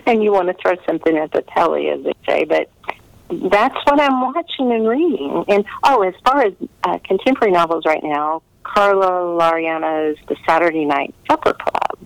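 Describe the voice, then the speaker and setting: A woman's voice: lowish voice, lively intonation, moderate pace, gravelly, no room sound, rough recording. A woman chatting casually as an author being interviewed, gracious and enthusiastic in the way she talks.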